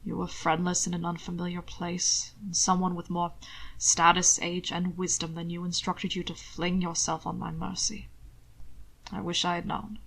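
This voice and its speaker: Brief: woman reading a solemn devoted man, hushed and measured, low soft voice. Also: completely flat delivery, gravelly. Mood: tender, remorseful. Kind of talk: audiobook reading.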